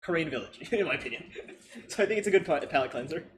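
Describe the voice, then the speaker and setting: A man's voice: a little high, lively intonation, quick pace, slight background noise. A man in a casual, opinionated discussion, chuckling often as he talks. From Philippines. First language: Filipino background, speaks with a non-Filipino accent. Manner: chuckles often while talking. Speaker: co-host of a ranking discussion. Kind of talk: casual chat, opinionated debate.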